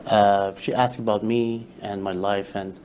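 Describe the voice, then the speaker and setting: Halting Farsi-native man, lowish voice. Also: little pitch movement, darkish tone, slight background noise. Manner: halting, with many fillers and restarts. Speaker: interview guest, social media creator. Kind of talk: casual interview talk.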